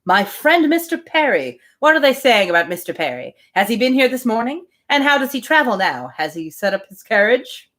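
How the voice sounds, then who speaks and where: Teasing young gentleman read by a woman, classic-novel storytelling, eager, exclamatory, very lively intonation. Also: fairly high pitch, normal speed, few pauses. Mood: breezy curiosity. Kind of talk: acted dialogue in an audiobook-style reading.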